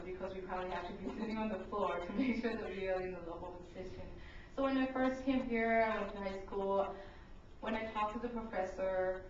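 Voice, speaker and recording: A woman's voice: medium pitch, background noise. Young woman from Thailand, very echoey. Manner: earnest, hesitant with fillers, laughs while telling. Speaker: student speaker. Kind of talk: personal story at a forum. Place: university lecture room. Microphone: far camcorder. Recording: very echoey room from far off, dull camcorder audio.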